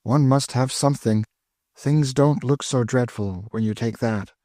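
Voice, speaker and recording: A man's voice: medium pitch, measured pace, darkish tone, no room sound, voice pushed up, natural voice. A man voicing a weary, despairing woman who speaks with dry bitterness. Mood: bitter.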